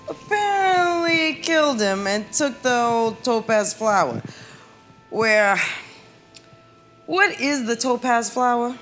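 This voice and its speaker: A woman playing a brisk, skeptical police captain interrogating suspects in a comedic radio play, wry and a little halting. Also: on the high side, fairly bright tone.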